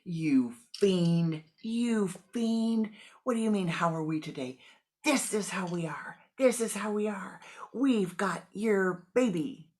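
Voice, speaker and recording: A woman voicing outraged village parents who yell accusations at a monk. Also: mid-range voice, lively intonation, takes it slowly, pitched above the performer's own voice. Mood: furious. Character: furious shouting village parents, shamed.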